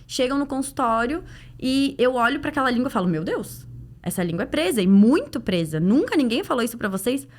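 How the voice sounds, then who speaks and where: Casting: Brazilian Portuguese-speaking woman, slightly high voice, clinical guest on a podcast, explanatory and conversational. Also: very animated, a little fast, darkish tone. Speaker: guest, pediatric dental clinician. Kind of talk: conversational podcast interview answers.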